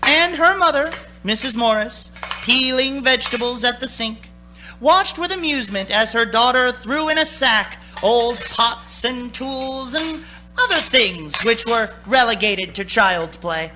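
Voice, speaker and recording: An actress playing the storytelling narrator of a radio play, theatrical and expressive. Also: female voice, fairly high pitch, unhurried, faint music behind. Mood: wistful, lively. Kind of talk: scripted radio drama narration.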